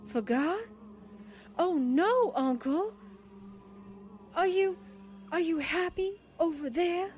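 A woman plays a wisecracking young girl reporter in an old-time radio drama. Her high voice is brash, slangy and chatty, with faint music behind. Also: takes it slowly, long pauses, darkish tone. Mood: feigned awe. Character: brash, wisecracking young newspaperwoman working undercover. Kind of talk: 1940s radio drama acting.

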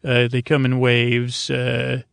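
A man with a creaky voice hosting a sleep podcast, talking in a meandering, halting, gently goofy and lighthearted way. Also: medium pitch, monotone, dry recording. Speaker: podcast host. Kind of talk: rambling, informal sleep podcast monologue.

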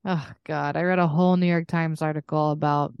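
Low-voiced woman in rambling, casual chat. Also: fairly even intonation, brisk, smooth, no room sound, dull audio. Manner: informal, rambling, thinking out loud. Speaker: podcast co-host. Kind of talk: casual unscripted chat with a friend.

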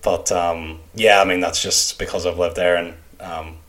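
A man's voice: low-pitched, level tone, normal speed, fairly bright tone. A man with a Northern Irish accent gives his opinions in casual book club talk, hesitantly and in loosely padded phrases. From Northern Ireland. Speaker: podcast co-host.

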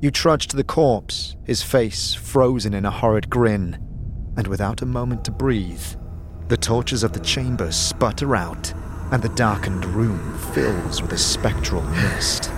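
Man narrating dark fantasy over loud music. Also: lowish voice, a little slow. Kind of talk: scripted dramatic fantasy narration.

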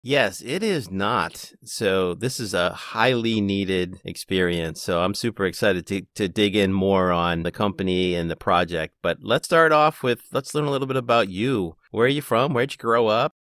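A man's voice: lowish voice, moderate pace, hardly any pauses, a bit bright, dry recording, clean studio sound. A man with an American accent hosting a casual interview, asking informal, encouraging questions. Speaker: interview host.

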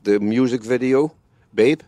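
A man's voice: medium pitch, a little slow, dark tone, no room sound. A man in his seventies with a Dutch accent, chatting casually as a guest in a matter-of-fact way, in slightly broken English. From Netherlands. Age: 70s. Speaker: guest, amateur film actor. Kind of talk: casual conversation.

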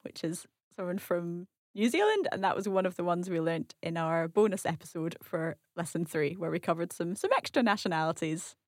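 A woman's voice: lowish voice, lively intonation, a little fast, hardly any pauses, no echo, clean studio sound. Curious Scottish woman learner. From Scotland. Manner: curious, asks follow-up questions, repeats phrases back. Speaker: language learner co-host. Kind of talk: language lesson dialogue.

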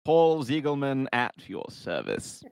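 A man's voice: mid-range voice, huge pitch swings, a little slow, creaky, clean tone, exaggerated voice. A man playing a smug, boastful star warehouse worker in an improv comedy scene, with a put-on posh English accent. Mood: haughty. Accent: posh southern English put on. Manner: boastful, self-satisfied, breaks into laughs.

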